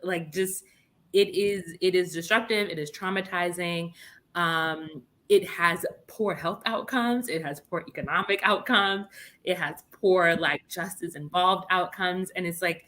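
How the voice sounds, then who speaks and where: A young woman from Brooklyn speaks with a low, deep-set voice that still rings bright and forceful. She talks as an interview guest, earnest and impassioned, her thoughts running on in long chains. Her tone is clear and pointed. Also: measured pace. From Brownsville, Brooklyn, New York. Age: young adult. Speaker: guest, policing reform advocate. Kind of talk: interview conversation.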